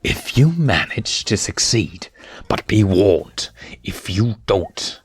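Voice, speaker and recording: A man voicing a cruel adult male lion villain, threatening in a slow, drawn-out way. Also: fairly low pitch, big pitch swings, husky, dry recording, full character voice. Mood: cold, threatening.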